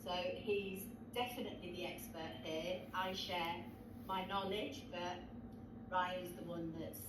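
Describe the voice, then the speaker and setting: A woman hosts an online session, chatting along in a friendly, rambling way. She sounds set back from her mic. Her voice carries a roomy echo and steady background noise. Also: lowish voice, a little slow. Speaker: host. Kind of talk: casual webinar hosting. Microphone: video call. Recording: distant, noisy, echoey call audio.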